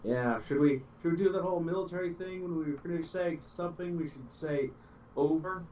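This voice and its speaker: A man's voice: on the high side, brisk, darkish tone. Joking man on a distant, noisy line. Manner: joking, playful. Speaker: co-host. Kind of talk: casual chat.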